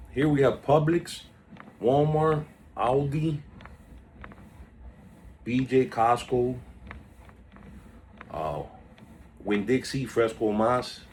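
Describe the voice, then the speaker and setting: A man's voice: on the low side, darkish tone, a little hiss or hum. A man hosting a casual stock market video, chatty and drifting off topic while reading out stock moves, recorded on a phone. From South Florida. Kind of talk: casual rambling market commentary.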